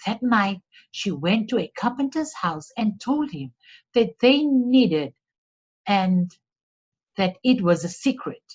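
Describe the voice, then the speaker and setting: Slow, expressive woman reading a storybook. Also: mid-range voice, husky, highs cut off. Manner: deliberate, expressive read-aloud for children. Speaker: storyteller for a read-along. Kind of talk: scripted storybook narration.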